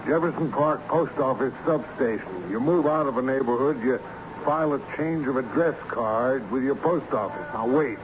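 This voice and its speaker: An actor playing a brisk, determined lawyer in a vintage radio drama. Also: male voice, medium pitch, natural voice. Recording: noisy, muffled old radio broadcast.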